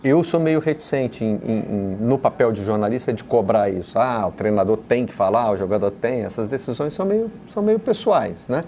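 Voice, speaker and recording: A man hosts a football show and speaks in a measured, reflective way. His voice is dark and mellow, and there is faint noise behind it. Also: medium pitch, expressive. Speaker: sports show host. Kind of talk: casual football panel talk.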